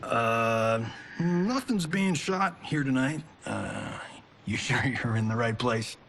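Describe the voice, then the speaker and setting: An actor playing a cocky, glib Hollywood action star in a video game, with an American accent and a sarcastic, theatrical manner. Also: male voice, mid-range voice, expressive, slow, fairly bright tone, a little hiss or hum. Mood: flippant, puzzled. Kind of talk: cinematic video game dialogue.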